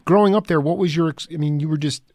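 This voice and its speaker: A man's voice: fairly high pitch, fast, a little mellow, no room sound. A man from Long Island hosting a radio interview, eager and stumbling over his restarts as he asks questions. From Long Island, New York. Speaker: radio show host. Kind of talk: casual interview.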